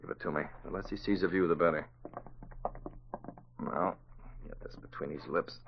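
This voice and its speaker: An actor playing a composed, commanding publisher who is secretly a masked vigilante, in 1940s radio style. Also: male voice, low voice, moderate pace, a little hiss or hum. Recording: muffled vintage radio recording.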